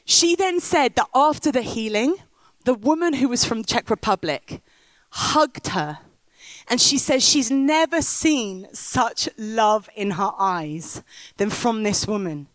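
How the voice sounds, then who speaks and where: A woman's voice: on the high side, lively intonation, slow, no room sound. A woman preaching on stage, telling a story and rousing the crowd. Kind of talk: sermon with storytelling. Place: stage in a big top tent.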